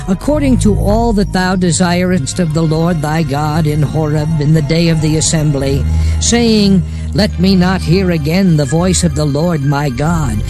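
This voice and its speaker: Man acting solemn male prophet, high-pitched voice, slow oratorical delivery, audio Bible drama, faint music behind. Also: natural voice. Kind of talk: dramatized King James scripture reading.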